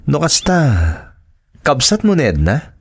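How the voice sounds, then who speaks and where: A man's voice: a little high, wildly swooping pitch, unhurried, creaky, husky, exaggerated voice. A man with an Ilocano accent acting expressively in a family radio drama. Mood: flirtatious. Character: male characters in a family and romance drama.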